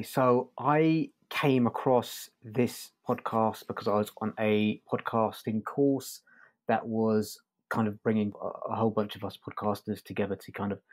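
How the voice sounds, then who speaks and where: Low-pitched man, casual podcast host. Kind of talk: casual review discussion.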